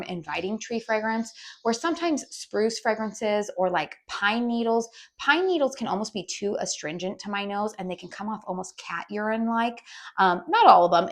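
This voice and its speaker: A woman with a raspy voice from a head cold, casually reviewing a candle outdoors in a chatty way with lots of ums. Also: medium pitch. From US West Coast. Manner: chatty, frequent ums and restarts. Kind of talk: casual product review vlog. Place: outdoors with birdsong.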